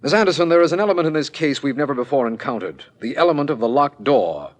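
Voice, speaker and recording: Casting: male actor as sober district attorney, medium pitch, formal narration and questioning for a vintage radio mystery. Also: animated, close to an everyday voice. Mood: stern, probing. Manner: measured, formal. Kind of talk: 1940s radio acting, narration and dialogue.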